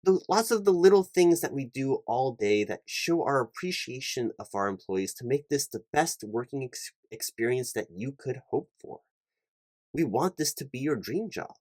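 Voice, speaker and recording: A man playing an earnest, slightly flustered recruiting manager in a one-sided job interview roleplay, speaking in halting, stammering corporate talk. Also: medium pitch, expressive, darkish tone, no room sound.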